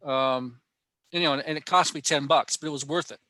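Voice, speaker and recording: A man's voice: a little high, steady tone, a little fast, bright, forceful tone, dry recording. A man giving an informal presentation and answering questions on an online video call, chuckling now and then as he talks. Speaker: presenter at a ham radio workshop.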